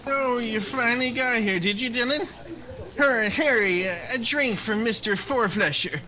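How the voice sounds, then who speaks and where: An actor playing a boastful, drunken young western loudmouth, taunting and spoiling for a fight in a radio drama. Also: male voice, very high-pitched, normal speed, heavily acted. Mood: drunken bragging. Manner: taunting, bragging.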